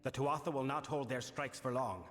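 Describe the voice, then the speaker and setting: A male actor voicing a grave, burdened fae king in a fantasy game, speaking formally and in a measured, solemn manner. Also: mid-range voice, fairly bright tone, a little hiss or hum, natural voice. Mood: stern, formal. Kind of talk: scripted fantasy game dialogue. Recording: long reverberant hall, music behind. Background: music.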